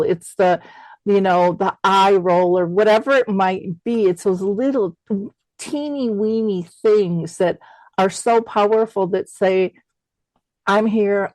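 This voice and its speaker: A woman's voice: medium pitch, darkish tone, no room sound, poor audio. A middle-aged or older woman hosting an interview, reflecting conversationally and often repeating words as she thinks aloud. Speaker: interview host.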